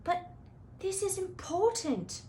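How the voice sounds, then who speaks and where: A woman's voice: extremely high pitch, sing-song, darkish tone, a little hiss or hum, voice pushed up, full character voice. A woman voicing an earnest, inquisitive schoolboy with an insistent manner, reading a children's book aloud. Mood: frantic. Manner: insistent, pressing, halting when nervous.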